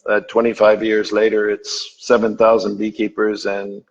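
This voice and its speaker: A man who grew up in Zambia, a honey supplier, speaking on a video call in a halting way with many "uh"s and dry humor. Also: lowish voice, monotone, gravelly, low-quality recording. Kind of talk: casual online panel chat.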